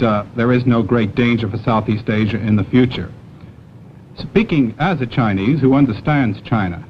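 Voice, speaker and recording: Brief: male interviewer, mid pitch, halting questions, dull archival sound. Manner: hesitant, many fillers. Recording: dull archival 1960s recording with faint hiss.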